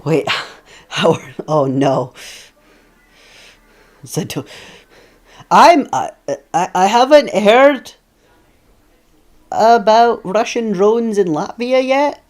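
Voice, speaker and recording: A man's voice: high voice, expressive, drawn-out words, long pauses. A young Scottish man streaming a puzzle game, chatting with viewers, thinking aloud and laughing often. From Scotland. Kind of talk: live game stream chat.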